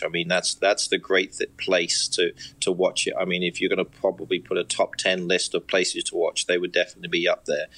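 A man's voice: low voice, bright, forceful tone, poor audio. A man who is a youth soccer coaching director, chatting on a podcast in an upbeat, self-mocking way. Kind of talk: casual sports chat.

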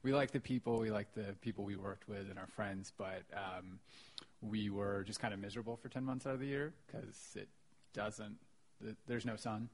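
A man's voice: lowish voice, fairly even intonation, moderate pace. A man with an American accent, a writer, chatting casually as a guest on a podcast. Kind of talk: casual chat, being interviewed.

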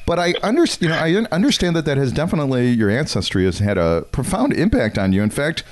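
A man with a mid-pitched voice and a very lively, swinging intonation. He chats as a podcast co-host, asking questions in an informal, jokey way. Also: quick pace. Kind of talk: casual interview chat.

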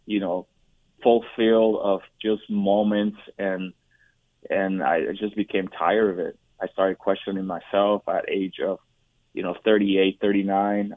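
A man from Puerto Rico in his forties, a guest telling his personal story in an interview, talking haltingly with frequent restarts and fillers. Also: low voice, no echo. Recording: dry, tinny phone-like line.

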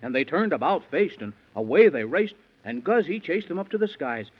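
A man's voice: on the high side, sing-song, a little fast, breathy edge. A man narrating a rhyming 1930s radio bedtime story in a playful, theatrical, rhythmic way. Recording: dull, muffled 1930s radio recording.

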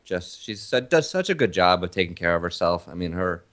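A man with a very low voice hosts a podcast in relaxed chat. He teases his co-host and tells long, easygoing stories. He grew up in Argentina. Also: brisk. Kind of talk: casual chat.

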